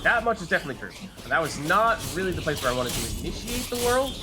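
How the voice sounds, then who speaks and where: A man's voice: fairly high pitch, sing-song, fast talker, rough recording. A man giving casual live commentary on a video game, chatting and thinking aloud as he plays. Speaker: game streamer, host.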